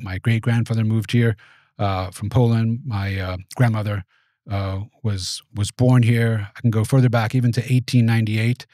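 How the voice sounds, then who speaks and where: A man in his forties, raised in the United States, giving thoughtful, measured interview answers in a small studio. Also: on the low side, a little mellow, dry recording. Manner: measured, thoughtful, with frequent restarts. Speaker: guest, venture capitalist. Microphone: studio mic on boom arm.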